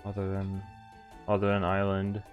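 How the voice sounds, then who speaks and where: A man's voice: sits low, monotone, normal speed, dull audio, soft background music. A man giving casual let's-play commentary on a visual novel, switching between offhand reactions and reading game text aloud. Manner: casual, reacts with laughs and interjections. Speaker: streamer playing a visual novel.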